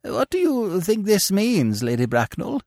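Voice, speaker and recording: A man's voice: sits high, huge pitch swings, unhurried, a little mellow, no echo, studio quality, voice pushed up, full character voice. Brief: male reader as a mild, fussy elderly vicar. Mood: curious.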